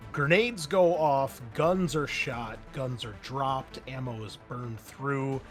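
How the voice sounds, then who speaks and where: A man's voice: mid-range voice, slow pace. Man running a tabletop game over music. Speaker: game master. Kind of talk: narrating and refereeing a tabletop roleplaying game.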